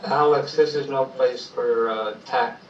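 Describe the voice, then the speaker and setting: A man on a video call tossing in quick jokes and asides. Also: medium pitch, steady tone, slight background noise. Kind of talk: casual chat. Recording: echoey, distant, dull call audio.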